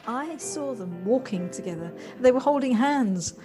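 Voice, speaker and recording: A woman in an audio play acting an earnest, reflective woman with a halting, tentative delivery. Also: a little high, animated, warm tone, lightly acted. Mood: happy, tender. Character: earnest, hesitant woman coming home softened and reflective. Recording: home recording on personal device, music behind.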